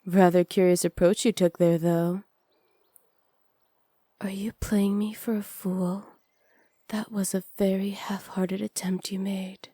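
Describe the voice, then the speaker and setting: A woman playing a flirty, cruel evil witch in a soft ASMR roleplay, teasing and smug, close to the mic. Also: mid-range voice, little pitch movement, no room sound. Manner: teasing, smug, unhurried, often dropping to near-whispers. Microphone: close microphone, dry.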